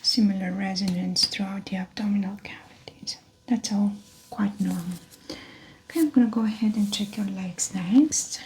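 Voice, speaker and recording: A woman whispering softly in an ASMR medical exam roleplay, calmly talking through each step of the check. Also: mid-range voice, normal speed, slight background noise, poor audio.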